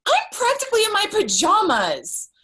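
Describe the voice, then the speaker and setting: A woman's voice: very high voice, huge pitch swings, moderate pace, sharp tone, full character voice. An actress playing a sarcastic, image-conscious young woman in a screenplay table read. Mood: flustered.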